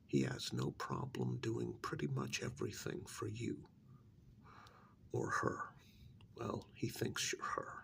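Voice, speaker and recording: A man playing a cold, condescending secret-agency superior in a soft-spoken ASMR role-play, measured and patronizing, with a breathy, gravelly half-whisper and deliberate pauses. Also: fairly low pitch, exaggerated voice. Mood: matter-of-fact, cold.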